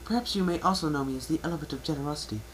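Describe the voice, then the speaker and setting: Casting: male reader as refined female cartoon pony, fussy and genteel, voice pushed high, noisy home recording. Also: racing, close to an everyday voice. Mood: poised, flattered. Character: refined, ladylike female pony boutique owner.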